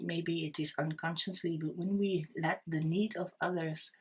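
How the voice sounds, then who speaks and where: A middle-aged woman with a Dutch accent reads tarot cards to camera. Her voice is husky and sits a little low, and she speaks very slowly. She hesitates often, restarting words and filling pauses with "um". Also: rough recording. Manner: slow, hesitant, with many fillers and restarts. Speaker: tarot reader. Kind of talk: unscripted tarot reading to camera.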